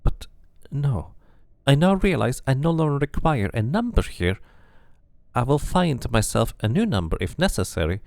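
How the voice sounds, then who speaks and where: Brief: male streamer doing a formal, earnest man from a fantasy game, a little raised, gravelly, expressive. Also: mid-range voice, moderate pace, dry recording, clean studio sound, voice pushed up. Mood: solemn. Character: solemn man newly regaining his name. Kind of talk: amateur game voice acting.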